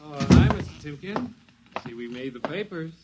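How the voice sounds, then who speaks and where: Brash working-guy radio operator played by a man, American, fairly high voice, very varied pitch, punchy vintage radio drama delivery. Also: lively intonation, normal speed, very noisy, close to an everyday voice. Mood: casual, grumbling. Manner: colloquial, punchy; clipped announcer style on air. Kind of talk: old radio drama acting.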